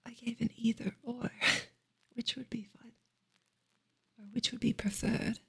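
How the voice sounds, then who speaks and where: Female ASMR host, very breathy whisper, slow and gentle, informal livestream chat. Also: a little high, flat delivery. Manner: soft, unhurried, gentle, halting with long pauses.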